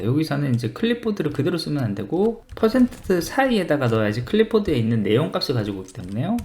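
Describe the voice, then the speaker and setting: A screencast tutor with a mid-pitched voice and animated intonation. The delivery is methodical and explanatory, thinking aloud through each step while working at a computer. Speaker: software tutorial presenter.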